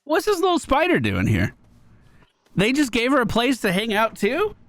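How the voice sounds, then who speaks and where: A man streaming a game with chatty, informal commentary. His voice is high for a man and swings around a lot in pitch, recorded dry and close. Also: huge pitch swings, normal speed, fairly bright tone, no room sound. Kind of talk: casual gameplay commentary.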